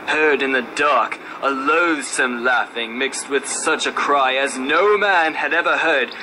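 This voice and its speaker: A man's voice: medium pitch, measured pace. A man giving a solemn, theatrical dramatic reading of a horror story as narrator. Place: radio station room. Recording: faint background noise at radio station.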